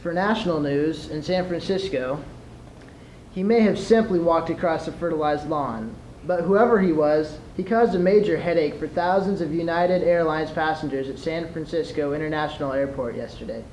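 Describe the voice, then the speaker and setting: Teenage male, fairly high voice, scripted radio newscast delivery, echoey noisy room. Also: moderate pace, a little mellow. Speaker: student competitor playing radio newsreader. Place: large room at a school event.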